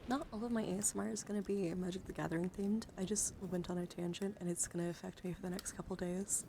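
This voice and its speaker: Woman, ASMR streamer: soft, crackly vocal fry, whisper-prone, soothing and encouraging, close mic with noticeable background noise. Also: lowish voice, fairly even intonation, quick pace. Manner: soft-spoken, often dropping into whispers. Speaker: livestreamer hosting an ASMR card-showing stream. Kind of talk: ASMR, casual livestream chat. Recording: clearly audible background noise.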